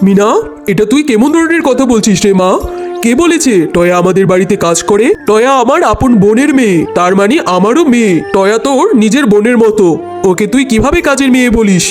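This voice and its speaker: Earnest cartoon dad, male performer: firm but caring, fair-minded, admonishing delivery over soft music. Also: high voice, expressive, a little fast. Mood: stern. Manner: earnest, scolding and reasoning. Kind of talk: cartoon dialogue.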